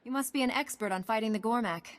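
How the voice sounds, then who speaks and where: A woman's voice: a little high, fast, natural voice. A woman voicing a resolute young Jedi knight in a video game. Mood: determined, inquiring.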